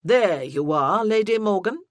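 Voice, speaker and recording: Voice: medium pitch, expressive, unhurried, no room sound, studio quality, voice pushed up, close to an everyday voice. An audiobook narrator voicing an imperious older noblewoman who issues commands. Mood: insistent. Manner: commanding, haughty.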